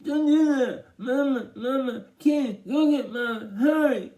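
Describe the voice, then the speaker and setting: A man's voice: extremely high pitch, measured pace, mellow, pitched above the performer's own voice. A man voicing a panicky older boy who whines and pleads for help. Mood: panicked, pleading. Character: frantic whining older boy, stuck and begging for help.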